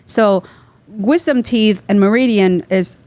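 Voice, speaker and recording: A woman's voice: mid-range voice, takes it slowly, darkish tone, no room sound. Earnest woman from Vietnam, dry close sound. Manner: explanatory, earnest, drops small grammar words. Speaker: guest dentist. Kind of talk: podcast interview.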